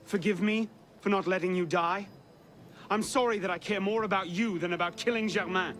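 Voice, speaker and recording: A man's voice: high-pitched, steady tone, fairly quick, fairly bright tone. A man playing an intense, guilt-ridden young adventurer with dry sarcasm and an articulate delivery in a video game cutscene. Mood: anguished.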